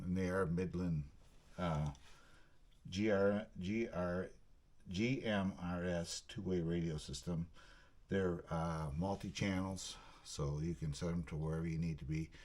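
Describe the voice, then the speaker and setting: A man giving a casual, halting hands-on unboxing commentary, muttering to himself as he works. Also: sits low, darkish tone. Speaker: video host, product unboxer. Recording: clear background noise, handling clicks.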